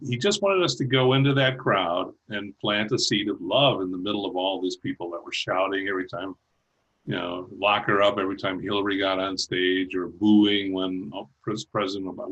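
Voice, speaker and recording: A man speaking as a guest over a video call, talking haltingly with many restarts and light laughs. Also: on the low side, fairly bright tone, slightly rough, highs cut off. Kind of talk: casual chat.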